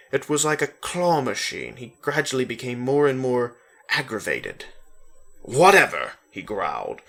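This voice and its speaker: A man's voice: mid-range voice, fairly bright tone. A man giving a dramatic reading of fiction as the narrator of a story. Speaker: story reader.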